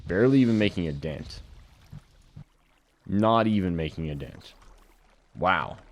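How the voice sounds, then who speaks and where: A young man with a deep, low voice talks his viewers through a modded Minecraft playthrough in a relaxed, chatty way. His throat sounds a little dry and scratchy. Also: measured pace. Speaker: gaming video host. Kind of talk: casual Minecraft modpack commentary.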